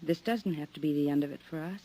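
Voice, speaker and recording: An actress playing a scheming femme fatale in a 1950s radio drama, coaxing and cold. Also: female voice, low voice, fast, mellow, slight background noise, close to an everyday voice. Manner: coaxing, then cold and threatening.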